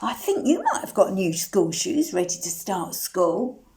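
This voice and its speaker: A woman reading a picture book aloud to young children, animated and sing-song, putting questions to the listeners and singing the refrains. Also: mid-range voice, measured pace.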